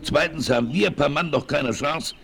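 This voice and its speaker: A man's voice: fairly high pitch, normal speed, creaky, fairly bright tone. An actor playing a worried, cautious frontiersman who reasons earnestly in a 1960s German radio play.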